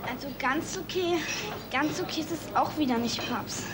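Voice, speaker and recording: A woman playing an excitable, nervous young girl in a German children's drama, speaking haltingly. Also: high-pitched, close to an everyday voice. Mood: urgent. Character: girl Joni, excitable kid sleuth, nervous confessor. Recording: very noisy soundtrack.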